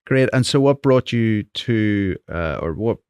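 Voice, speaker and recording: A man's voice: lowish voice, animated, a little slow, a little mellow, clean tone, dry recording, studio quality. A man hosting a podcast interview, asking friendly, curious questions and murmuring along with his guest. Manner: friendly and inquisitive, with frequent murmured agreement. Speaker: podcast host.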